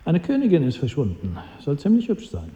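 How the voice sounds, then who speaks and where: Lively, cynical royal adviser. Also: male voice, a little high, a little fast. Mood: dry, gossipy. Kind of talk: radio drama acting. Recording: dull sound with hall reverb.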